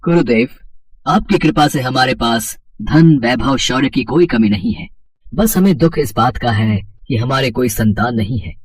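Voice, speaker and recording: A man's voice: mid-range voice, expressive, unhurried, slightly rough, no echo. A man playing a reverent, worried king, speaking in formal, earnest declamation in a mythological story dramatization. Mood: worried, sorrowful.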